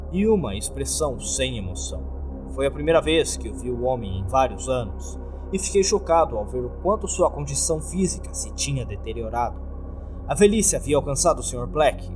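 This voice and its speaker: A man with a Brazilian accent reads a scripted horror story as its first-person narrator. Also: mid-range voice. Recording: music behind the voice.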